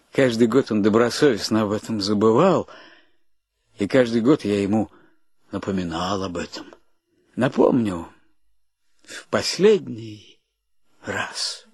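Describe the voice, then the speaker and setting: An actor playing a polite, sensitive husband in a radio play, courteous, halting and emotional, devoted to his friends. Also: fairly low pitch, darkish tone, slightly rough, no echo. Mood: weary, resigned. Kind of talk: theatrical radio drama acting.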